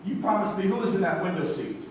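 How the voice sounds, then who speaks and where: An actor playing a frantic, sardonic young nephew in a comic stage play, flustered and sputtering. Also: male voice, high-pitched, animated, quick pace, crowd noise. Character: frantic, exasperated theatre critic nephew, sardonic young man. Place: small theatre, live performance. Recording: echoey stage recording from far off, noisy.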